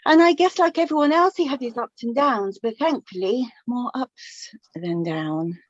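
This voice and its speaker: A woman's voice: on the high side, no room sound, close to an everyday voice. An actress playing a chatty, affectionate middle-aged mother of the bride, slightly flustered and hesitant, in a radio play. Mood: fond, chatty. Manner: hesitant, halting, chatty, with small nervous repetitions. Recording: dull lo-fi, slightly muffled recording.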